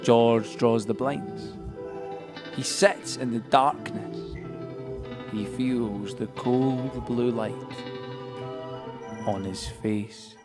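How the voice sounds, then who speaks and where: A man's voice: mid-range voice, natural voice. An actor playing a young Scottish man who tells a story in a confiding, colloquial way, as a dramatic monologue in an audio play. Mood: sombre, reflective. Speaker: actor-narrator. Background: music score.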